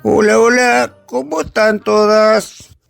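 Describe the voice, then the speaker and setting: Guy doing a little snail for a kids radio play, high n creaky, earnest and kinda timid. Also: fairly even intonation, slow pace, fairly bright tone, faint music behind, full character voice. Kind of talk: children's radio play acting.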